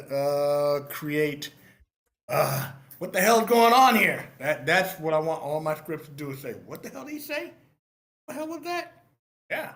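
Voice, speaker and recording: A man speaking casually and emphatically as a writer in an online meeting, halting and repeating phrases for emphasis. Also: a little high, a bit bright. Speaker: screenwriter responding to feedback. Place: online live meeting room.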